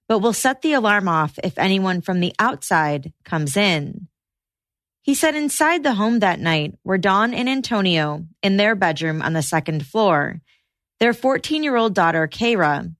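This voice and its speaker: A woman reading scripted true-crime podcast narration in a measured, matter-of-fact way. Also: mid-range voice, fairly bright tone, no room sound, clean studio sound.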